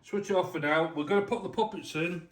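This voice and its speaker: A man's voice: high voice, fast talker, crowd noise. An English man casually demonstrating something hands-on, explaining in a colloquial, matter-of-fact way. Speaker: restorer of vintage arcade machines presenting the work. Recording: roomy, distant mic with clear background noise.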